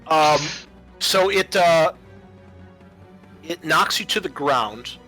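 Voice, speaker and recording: Male game master, bright forward voice, improvised rulings and scene narration over music. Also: on the high side, lively intonation, slow pace.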